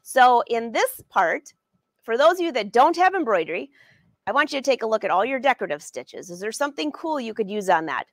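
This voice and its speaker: Brief: woman in her 40s, sewing teacher on a livestream, friendly and chatty, slightly high voice, dry close sound. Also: very animated, fairly quick, dry recording. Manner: chatty and upbeat, addresses viewers by name. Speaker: sewing educator and brand ambassador. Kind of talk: live-streamed sewing lesson answering viewer questions. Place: sewing studio indoors.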